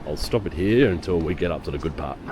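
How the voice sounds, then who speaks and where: A man with a hoarse voice from a lingering cough, giving chatty walking-tour commentary on busy city streets. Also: deep voice, lively intonation, fast, a crowd in the background. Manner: chatty, rambling, with fillers. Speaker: travel vlogger. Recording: outdoor street noise. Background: city street noise and traffic.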